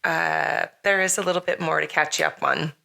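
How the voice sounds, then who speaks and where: A middle-aged woman with a slightly hoarse, raspy voice hosts a casual podcast chat, rambling with lots of fillers and frequent laughs. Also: sits low, steady tone, moderate pace, bright, forceful tone. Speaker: podcast host.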